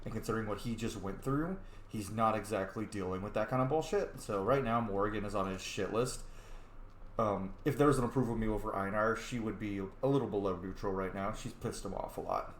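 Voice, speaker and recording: A man's voice: on the low side, fairly quick, a little hiss or hum. Chatty, swearing man in a roomy room. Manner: chatty, profane, joking. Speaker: roleplay gamer. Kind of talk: casual gameplay roleplay commentary.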